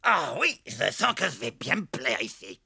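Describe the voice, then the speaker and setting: An actor voicing a big, greedy, boisterous bear cub in a cartoon, gravelly and childishly demanding. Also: medium pitch, huge pitch swings, moderate pace, sharp tone, heavily acted. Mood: blithe. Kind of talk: animated cartoon acting.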